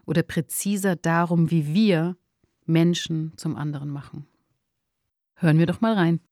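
A woman's voice: deep voice, steady tone, moderate pace, a little mellow, no room sound, studio quality. A woman co-hosting a podcast, explaining in a presenter's style. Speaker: podcast co-host.

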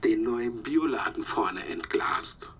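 A mid-pitched slangy young man. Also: expressive, slow. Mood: subdued, impressed. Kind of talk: low-key conversational radio drama acting.

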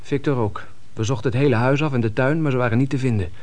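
Guy as a schoolboy in a radio play telling his story, mid-pitched voice, plain and matter of fact. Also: quick pace, a little mellow, natural voice. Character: schoolboy son recounting strange events matter-of-factly. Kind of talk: radio drama acting and narration.

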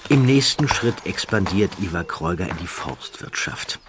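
A man reading a scripted documentary narration in a measured, deliberate way with dramatic emphasis. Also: deep voice, expressive, noisy background.

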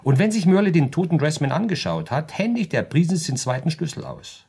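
Husky, animated, pedantic lawyer. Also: male voice, a little high, a little fast, heavily acted. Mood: smug, reasoning. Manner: precise, lecturing, polite, theatrically varied. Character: urbane pedantic male lawyer, coolly reasoning through crimes. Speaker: lawyer giving legal advice. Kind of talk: German radio play dialogue.

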